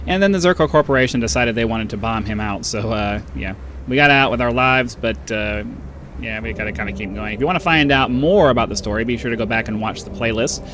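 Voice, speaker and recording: A man with a nasal voice hosting a casual let's-play, rambling and chatty, with a bass-boosted desktop microphone. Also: quick pace, fairly bright tone, a little hiss or hum. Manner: rambling, chatty, with frequent uhs and little laughs. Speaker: gaming channel host. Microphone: desktop microphone with bass boosted by an equalizer.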